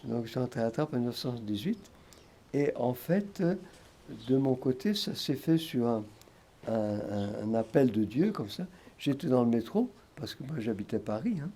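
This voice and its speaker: Older monk in his sixties, calm storyteller, mellow warm tone. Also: mid-range voice, moderate pace, breathy edge. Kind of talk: documentary interview.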